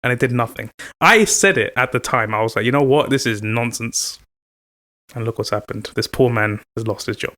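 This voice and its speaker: A man co-hosting a casual podcast with a teasing, playful manner. He tells his stories in an animated way at a medium pitch. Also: moderate pace. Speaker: podcast co-host. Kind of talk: casual chat.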